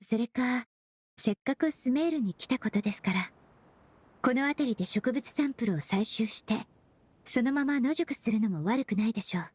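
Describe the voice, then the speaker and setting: An actress playing a calm, polite young woman perfumer in an anime game, precise and soft-spoken. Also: on the high side, moderate pace, no echo. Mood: polite, easygoing. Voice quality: soft, breathy.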